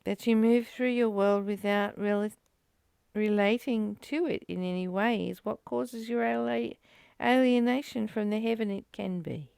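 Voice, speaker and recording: A woman giving a reflective spiritual teaching, reading and commenting in short, earnest, gently urging phrases with pauses. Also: mid-range voice, gravelly, clear, resonant tone, dry recording.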